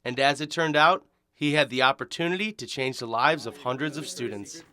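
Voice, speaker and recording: A man's voice: medium pitch, a little fast, bright, forceful tone. A man with an American accent reading scripted narration as a television news reporter.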